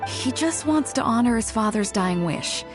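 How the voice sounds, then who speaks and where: Woman playing a confident, lively game sea captain. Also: on the high side, moderate pace, over music, close to an everyday voice. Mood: calm, assured. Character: confident, fair-minded female ship captain mediating village disputes. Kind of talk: video game voice acting.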